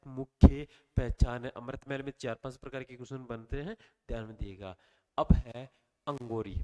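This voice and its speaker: A man teaching a revision lecture with a mid-pitched voice, throat a little sore. He keeps quizzing the listeners and then gives the answer himself, repeating key points. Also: steady tone, normal speed, darkish tone. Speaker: exam coaching teacher.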